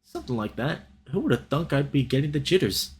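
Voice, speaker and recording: Man voicing a nervous young otter, lively pitch. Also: fairly quick, breathy edge. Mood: jittery. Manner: hesitant, folksy. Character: young male otter filmmaker, Southern, nervous, earnest.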